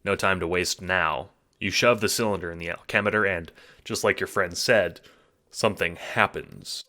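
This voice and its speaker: A man's voice: deep voice, steady tone, normal speed, pushed and bright. A man narrating a scripted second-person story in a dramatic storytelling manner. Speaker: narrator and producer. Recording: music under the voice. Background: music.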